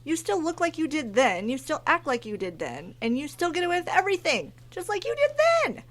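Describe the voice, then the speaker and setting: An actress playing a frustrated, disapproving wife of thirty in a horror audio drama. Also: female voice, sits high, wildly swooping pitch, brisk. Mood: resentful. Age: 30s. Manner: reproachful, emotional. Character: frustrated thirty-year-old wife, disapproving, easily upset friend.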